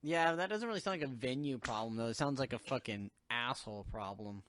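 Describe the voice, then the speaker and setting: A man's voice: medium pitch, a little fast, crowd noise. A man hosting a podcast, chiming in with short, casual reactions and swearing freely. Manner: brief interjections, swears casually. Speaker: podcast host.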